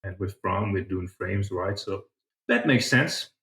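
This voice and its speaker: A man's voice: deep voice, animated, a little slow, a bit bright, echoey room. A man from southern Germany, giving casual, reflective answers as a podcast guest. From southern Germany, partly grew up in China. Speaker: podcast guest, startup founder.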